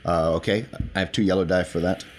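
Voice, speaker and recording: A man with a deep, low voice talks as a player at a tabletop roleplaying game. His delivery is casual, conversational game-table chat. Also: fairly even intonation, fast talker, slight background noise.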